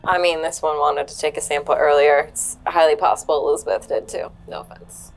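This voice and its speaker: A woman's voice: deep voice, steady tone, brisk, vocal fry, faint music behind, poor audio. A woman talking informally as a player in a tabletop roleplaying game. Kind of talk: casual, informal table talk.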